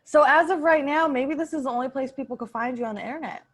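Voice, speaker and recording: A woman's voice: sits high, fast. A woman hosting a podcast, chatting casually with a teasing, crude sense of humour. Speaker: podcast host. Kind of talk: casual improvised podcast chat.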